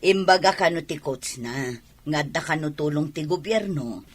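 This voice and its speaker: A woman's voice: sits low, lively intonation, normal speed. A woman playing a sceptical, defensive middle-aged mother of a young athlete in a radio drama, with an Ilocano accent. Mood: earnest.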